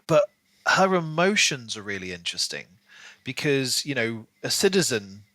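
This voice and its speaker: A man's voice: medium pitch, big pitch swings, normal speed, bright, forceful tone, dry recording. A man co-hosting a podcast, discussing a book casually in long, rambling runs. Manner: rambling, talks in long runs with many "you know"s. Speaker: podcast co-host.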